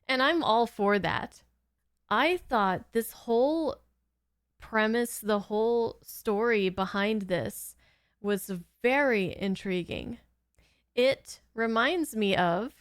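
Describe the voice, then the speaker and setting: A woman's voice: medium pitch, clear, resonant tone, no room sound, clean studio sound. A woman giving casual reaction commentary in an informal, giggly, rambling way. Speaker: host of a reaction channel.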